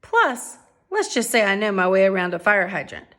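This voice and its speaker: A woman's voice: mid-range voice, very animated, normal speed, a bit bright. A woman voicing a hopeful young male dog from a storybook, earnest, pleading and playful. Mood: eager, cheeky. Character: eager, hopeful young male stray dog, polite and cheeky.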